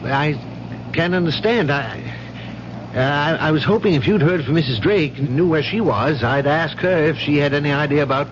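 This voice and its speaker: An actor playing a polite, concerned lab doctor in a 1970s radio drama, hesitant and gently probing. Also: male voice, on the high side, normal speed, natural voice. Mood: worried. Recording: muffled, noisy 1970s radio recording.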